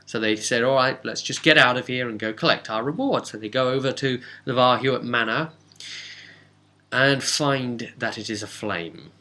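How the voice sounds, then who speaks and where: A man casually recapping a tabletop role-playing session as game master, telling it like a story with halting asides. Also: medium pitch, bright, forceful tone.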